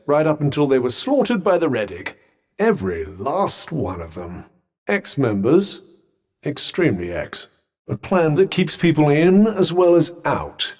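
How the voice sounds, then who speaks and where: A man's voice: mid-range voice, darkish tone, slightly rough, full character voice. A man voicing a witty, sarcastic male magic bracelet bound to the hero, in game voice lines. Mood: wry. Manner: dry, sarcastic quips.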